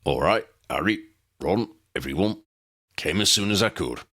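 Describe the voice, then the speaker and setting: A man's voice: sits low, lively intonation, moderate pace, fairly bright tone. A man voicing a huge, friendly, plain-spoken man in an audiobook, clipped and informal.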